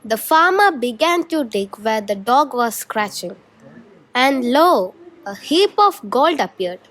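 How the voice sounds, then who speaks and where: A woman's voice: fairly high pitch, sing-song, takes it slowly, a bit bright. A young female student narrating a scripted folk tale for a school show.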